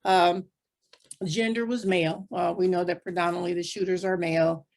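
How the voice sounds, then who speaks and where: A woman's voice: lowish voice, steady tone, normal speed, a little mellow. A woman speaking as a panelist, explaining things point by point in plain, conversational terms. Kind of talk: explanatory panel discussion talk.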